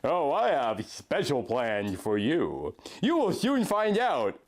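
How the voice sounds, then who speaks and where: Guy doing an evil dark twin villain, taunting and gloating, voice swooping around, storybook style. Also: medium pitch, huge pitch swings, slow. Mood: amused menace. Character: sneering evil male dark double of a hero.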